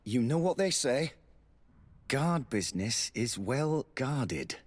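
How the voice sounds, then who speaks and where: An actor playing a wary, grave military lieutenant with a husky voice in a video game, speaking in a secretive, measured way. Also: male voice, mid-range voice, very animated. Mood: guarded. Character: guarded, wary male military lieutenant instructor. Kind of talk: video game character acting.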